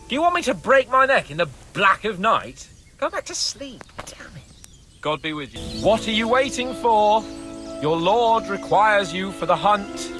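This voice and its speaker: A man's voice: high-pitched, sharp tone, full character voice. An actor playing an arrogant, hot-tempered young nobleman in a video game, with a haughty, scornful, exclamatory manner. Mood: grumpy, irritated. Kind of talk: video game dramatic voice acting.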